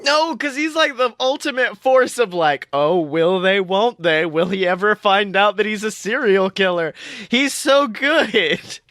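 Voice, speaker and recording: A woman co-hosting a podcast, bantering casually about a TV show with excitable, dramatic emphasis. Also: mid-range voice, a little slow, dry recording, clean studio sound. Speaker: podcast co-host.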